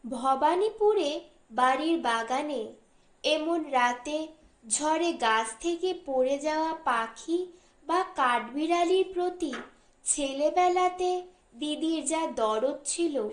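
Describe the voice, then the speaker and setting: A woman's voice: high-pitched, reverberant. A woman reading a literary short story as an audiobook narrator. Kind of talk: scripted literary story narration, voicing dialogue.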